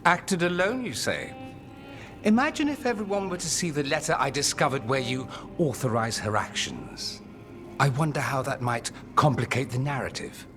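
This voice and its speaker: A man's voice: medium pitch, animated, normal speed, lightly acted. A man playing a witty, haughty nobleman mage in a fantasy game, with an arch, polished, theatrical delivery. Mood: mocking. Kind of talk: theatrical cinematic game dialogue. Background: music.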